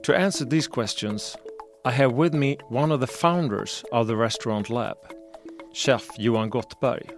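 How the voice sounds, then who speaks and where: Brief: male podcast host, medium pitch, measured scripted read, faint music bed. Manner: measured, reading a prepared intro. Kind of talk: scripted podcast intro and interview.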